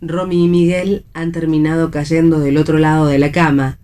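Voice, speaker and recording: A woman narrates a radio play, reading out stage directions. Her voice is very low and mellow. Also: little pitch movement, normal speed, clean tone. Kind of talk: scripted narration for read radio theatre.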